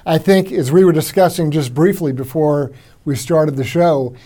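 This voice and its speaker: A man hosting an interview podcast, halting and often repeating words with fillers as he introduces and questions his guest. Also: a little high, warm tone. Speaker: podcast host.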